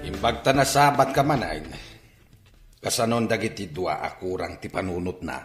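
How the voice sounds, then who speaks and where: A man's voice: low voice, moderate pace, reverberant, faint music behind, natural voice. A man playing a scornful, hot-headed father in a radio drama.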